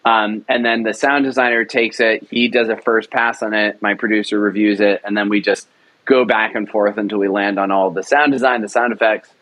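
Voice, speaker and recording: A man's voice: on the low side, fairly even intonation, fairly quick, fairly bright tone, poor audio. A young man, a writer and director, talking about his audio drama production in an enthusiastic, rambling way with many fillers. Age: young adult. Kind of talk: casual interview talk.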